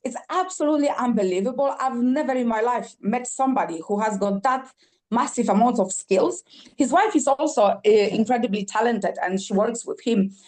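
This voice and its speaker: A woman's voice: on the high side, a little mellow, low-quality recording. A woman from Poland, a singer-songwriter guest on a podcast, talking enthusiastically with frequent fillers and restarts. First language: Polish. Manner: enthusiastic, halting with many fillers and repeats. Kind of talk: podcast interview.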